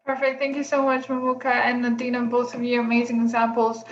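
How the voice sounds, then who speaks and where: A woman from Greece moderating an online workshop over a video call, relaxed, with many pauses and fillers. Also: fairly high pitch. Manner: relaxed, many ums, thinking aloud. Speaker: workshop facilitator. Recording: echoey room, dull lo-fi sound.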